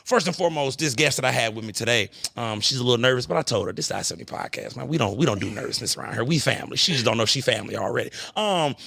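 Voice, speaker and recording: A man hosts a podcast and talks quickly, with a gravelly, bright edge to his voice. He chats casually in slang and keeps hyping up his guest. The sound is dry and close. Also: medium pitch, animated, no room sound. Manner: laid-back, slangy, hypes up his guest. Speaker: podcast host. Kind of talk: casual chat. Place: cigar lounge.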